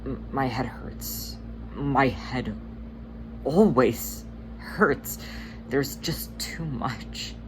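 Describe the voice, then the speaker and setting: A performer voicing an earnest, worn-down male cat leader who speaks haltingly and vulnerably, in a storybook fan reading. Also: medium pitch, noisy background. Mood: tearful, fragile.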